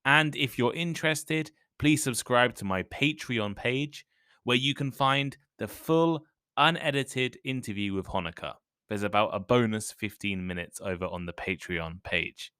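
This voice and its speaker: Clear, measured male podcast host. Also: medium pitch, sharp tone, no echo, clean studio sound. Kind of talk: scripted intro then casual interview.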